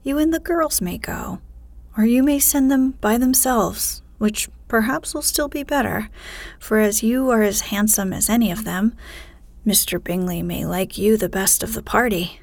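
A woman's voice: mid-range voice, normal speed, vocal fry, dry recording. A woman whispering as a dry, teasing husband. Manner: soft whisper. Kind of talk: whispered bedtime storybook reading.

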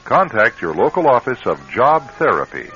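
A man hosting a radio drama series, delivering scripted narration in a measured, formal manner. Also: mid-range voice, very animated, pushed and bright. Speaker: radio drama host and announcer. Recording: muffled old radio broadcast, faint music.

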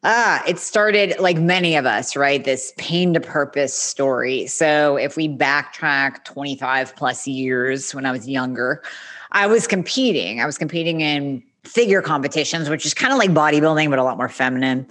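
A woman's voice: low voice, normal speed. A woman in her forties, a podcast guest, telling her own story candidly in a casual interview and acting out what others said. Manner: candid, animated, acts out quoted speech.

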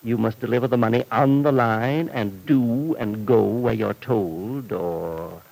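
An actor playing a shady, domineering fixer for hire in a vintage radio serial, sardonic and deliberately threatening. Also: male voice, mid-range voice, creaky, a little mellow, poor audio. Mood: cool menace. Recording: vintage radio drama recording.

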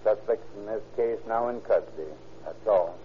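A man acts out a police dispatcher reading a 1930s radio bulletin. His lowish voice is clipped and official, coming through a narrow phone-like channel with faint noise. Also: moderate pace, dark tone, natural voice.

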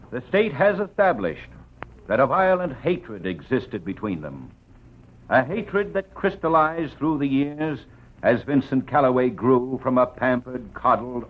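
An actor playing an aggressive, eloquent prosecutor in an old radio drama, crisp and oratorical with dramatic pauses. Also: male voice, medium pitch. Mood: accusing, scornful. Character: aggressive, eloquent male assistant district attorney in court. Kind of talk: old radio drama acting, courtroom speech. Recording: dull vintage radio drama recording.

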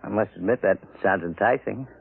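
Earnest, folksy husband, old radio. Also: male voice, lowish voice, brisk, creaky, slightly rough, no echo. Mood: tender, weary. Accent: American. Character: rattled, folksy husband who dotes on his wife. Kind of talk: 1950s radio acting. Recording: narrow-band vintage radio recording.

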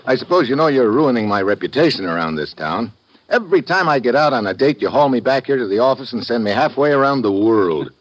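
An American actor playing a wisecracking, hard-boiled government agent in a 1950s radio drama. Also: male voice, medium pitch, lively intonation, fast, close to an everyday voice, rough recording. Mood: wry grumbling. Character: wisecracking, two-fisted male government agent. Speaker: lead actor and first-person narrator. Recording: old radio recording, music.

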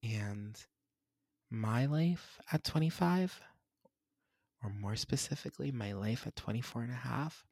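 A man's voice: medium pitch, a little slow, creaky, long pauses, a little mellow, slightly rough, dry recording, clean studio sound. A man, hosting a solo podcast and reflecting in a candid, rambling, self-searching way. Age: 20s. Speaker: podcast host.

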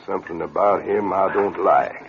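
Through a tinny, noisy old radio recording comes a man playing a gruff outlaw leader. He is bossy and suspicious, with a low voice in radio western style. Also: steady tone, normal speed, natural voice. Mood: wary. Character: tough, suspicious western outlaw gang boss. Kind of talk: 1940s-50s radio western acting.

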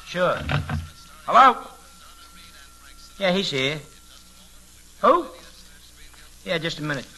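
An actor playing a plain-spoken, slangy wrestler sidekick in a 1940s radio comedy. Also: male voice, a little high, big pitch swings, slow, sharp tone, exaggerated voice. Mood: anxious. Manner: slangy, uneducated speech.

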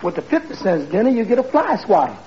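A man's voice: sits high, sing-song, quick pace, over a noisy crowd. A man playing a deferential, droll tavern waiter in 1940s radio comedy. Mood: droll, polite. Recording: muffled 1940s radio, faint audience noise.

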